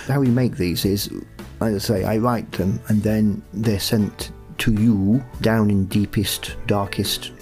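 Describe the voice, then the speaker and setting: Male, lowish voice, relaxed conversational interview style, dryly teasing, light music underneath. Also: takes it slowly, hardly any pauses. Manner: dry, teasing humour. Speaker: audio drama writer and sound producer. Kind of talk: casual chat interview.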